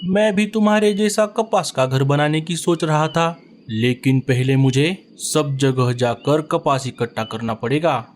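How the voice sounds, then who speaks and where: Cartoon male bird, polite and grateful, a man voicing him, fairly high voice. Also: measured pace, a little hiss or hum, close to an everyday voice. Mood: friendly. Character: friendly male bird building a nest. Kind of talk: cartoon story voice acting.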